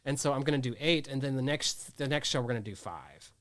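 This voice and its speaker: A man's voice: medium pitch, lively intonation, fast talker, a bit bright, dry recording, clean studio sound. A man in his thirties chatting casually in an interview, rambling and restarting often. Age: 30s. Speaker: magazine editor and producer.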